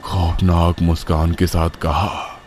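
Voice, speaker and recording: A man's voice: sits low, completely flat delivery, slow, a little mellow, slight background noise. A man narrating a scripted horror story as its storyteller.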